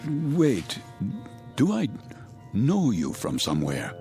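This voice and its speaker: An actor playing a disoriented, reserved ship passenger, halting and formal, in a radio drama. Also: male voice, medium pitch, wildly swooping pitch, mellow, soft background music, heavily acted. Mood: bewildered.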